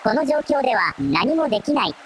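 A woman's voice: fairly high pitch, sing-song, brisk, noisy background. A woman voicing a formal, analytical robot companion in a radio drama, with stiff, factual delivery. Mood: clinical, serious.

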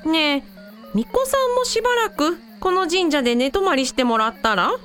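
ラジオドラマで、優しくおっとりした思いやりのある母親を演じる女性。. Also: female voice, sits high, lively intonation, moderate pace, fairly bright tone, faint music behind. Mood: mildly curious. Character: gentle, easygoing, sympathetic mother. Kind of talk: amateur anime-like radio drama acting.

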